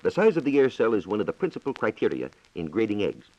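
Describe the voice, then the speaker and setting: A man's voice: on the low side, huge pitch swings, quick pace, darkish tone. An American man reporting on science in a scripted, formal and precise 1940s radio style, on a dull, echoey old recording.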